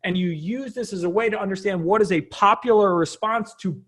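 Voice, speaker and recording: A man's voice: sits high, brisk, a bit bright, breathy edge. A man presenting a casual, unscripted tutorial as a coach, talking conversationally and often checking in with the listener. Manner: conversational, frequently checking in with "right?".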